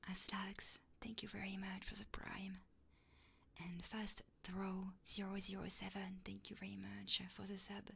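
A woman live streamer chatting casually and playfully in a soft, breathy ASMR style, often whispering, close to the microphone. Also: medium pitch, completely flat delivery, unhurried. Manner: casual and playful, swearing freely.

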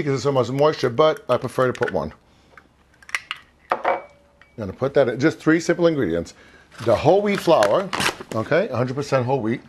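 A man in his fifties talks quickly through an informal cooking demonstration. His pitch is average for a man. He is chatty and circles back to rephrase what he just said, recorded on a lapel mic in a home kitchen. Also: slight background noise. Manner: chatty, repeats and rephrases himself. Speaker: cooking show host.

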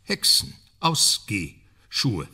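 Fussy sleuth, bright creaky voice. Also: male voice, on the low side, sing-song, extremely slow, exaggerated voice. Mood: hesitant. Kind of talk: German radio crime drama acting.

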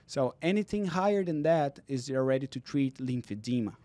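A man's voice: mid-range voice, expressive, normal speed, mellow, dry recording. Earnest man explaining in a podcast studio. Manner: earnest, explanatory, non-native phrasing. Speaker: physical therapist guest. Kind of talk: explaining in casual interview. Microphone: studio mic on boom arm, headphones.

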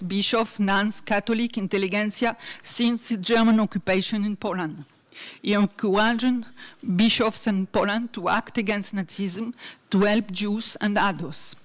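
A middle-aged woman giving a conference talk from notes at a university hall, hesitant with many fillers, speaking into a podium mic. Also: medium pitch. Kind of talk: academic talk read from notes. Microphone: desk mic on a stand.

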